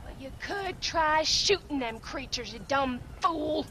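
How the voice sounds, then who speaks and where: A high, bright, swooping voice full of scorn, a sour grieving wife who snaps at everyone. Faint ambience hums behind her. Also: animated, unhurried, a little hiss or hum, heavily acted. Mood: bitter sarcastic. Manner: sarcastic, scolding, rural drawl. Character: bitter grieving wife, sarcastic and nagging. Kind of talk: video game cutscene acting.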